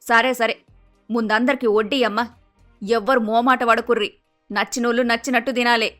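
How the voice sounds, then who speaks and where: A woman's voice: a little high, steady tone, normal speed, fairly bright tone, faint music behind, close to an everyday voice. A woman voicing a blunt, bossy middle-aged village mother-in-law with an emphatic Telangana Telugu accent, in a cartoon story. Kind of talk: acted dialogue in animated moral story.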